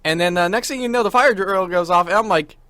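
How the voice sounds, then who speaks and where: A young man hosting a college radio show, chatting casually and slangily, with animated storytelling. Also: high voice, quick pace, no echo. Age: young adult. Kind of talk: casual chat.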